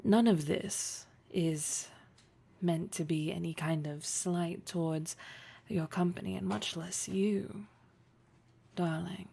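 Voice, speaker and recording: Casting: actress as commanding female executive for roleplay audio, formal, flirtatious, condescending; gravelly fry, unhurried delivery. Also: on the low side, fairly even intonation, mellow, exaggerated voice. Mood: cool, condescending. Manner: formal, measured, condescending, teasing, with deliberate pauses. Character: poised, flirtatious, domineering woman boss and startup investor. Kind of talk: roleplay audio drama addressed to the listener.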